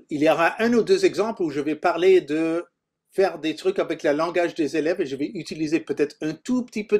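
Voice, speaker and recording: Halting Anglophone man with higher-pitched voice. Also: darkish tone. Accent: mid-Atlantic English accent in French. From Canada. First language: English. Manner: halting, searching for words in a second language. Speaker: language teacher and author leading a workshop. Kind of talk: informal teaching presentation.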